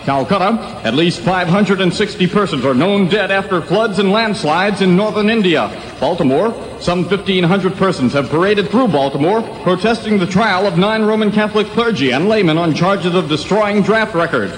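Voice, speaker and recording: A man's voice: sits high, animated, normal speed. A man reading a formal scripted news bulletin as a radio anchor on 1960s AM radio. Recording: 1960s AM radio, roomy long reverb.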